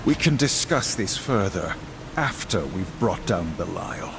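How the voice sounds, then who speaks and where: A man's voice: low-pitched, very animated, a little slow, creaky, noisy background, full character voice. An actor playing a wrathful, duty-bound horseman of the apocalypse in a game, stern, solemn and terse. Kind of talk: video game cutscene acting.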